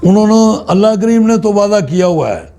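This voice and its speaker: A man giving an informal, unscripted religious talk in a halting, deliberate way, often pausing mid-sentence. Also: sits high, lively intonation, warm tone. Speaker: Islamic spiritual speaker.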